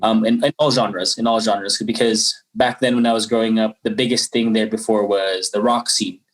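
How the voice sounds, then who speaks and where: Low-voiced Filipino man in his twenties. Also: steady tone, moderate pace, recorded from a distance, poor audio. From Philippines. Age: 20s. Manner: rambling, many fillers and restarts. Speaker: rapper guest. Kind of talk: casual interview.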